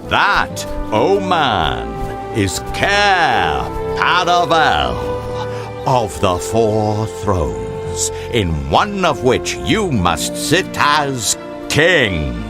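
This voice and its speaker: A man playing a great lion king in an audio drama, grave and kindly, with a slow, stately, gravelly delivery. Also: huge pitch swings, exaggerated voice. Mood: grand, proclaiming. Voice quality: very deep, gravelly. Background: music.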